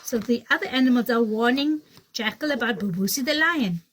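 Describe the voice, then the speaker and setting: A woman teacher reading a children's story aloud in an animated way, addressing young children. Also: fairly high pitch, fairly quick. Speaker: pre-primary teacher, storyteller.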